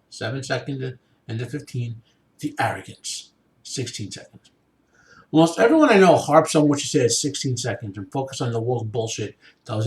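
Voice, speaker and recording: A male podcast host gives informal, explanatory commentary. He speaks haltingly and often checks in with the listener, in a strongly echoing room. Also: mid-range voice, darkish tone. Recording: echoey room sound.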